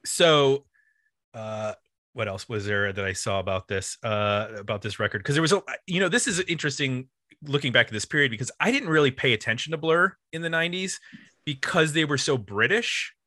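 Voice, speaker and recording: Bright-voiced man, casual podcast host. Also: medium pitch, lively intonation, a little fast, no echo, clean studio sound. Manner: rambling, uses 'like' constantly. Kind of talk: casual music discussion.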